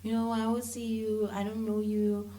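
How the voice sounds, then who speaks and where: A woman's voice: mid-range voice, monotone, normal speed, warm tone. A South African woman talking casually and informally as an interview guest, mixing languages as she goes. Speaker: interview guest, filmmaker and content creator. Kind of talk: casual conversational interview, code-switching.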